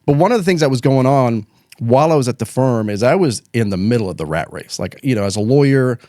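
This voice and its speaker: A man's voice: medium pitch, lively intonation, dark tone, no echo. A middle-aged man from Chile, an attorney guest telling his career story in casual, laid-back talk, with a studio microphone. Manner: fast, casual, says "dude" and "man".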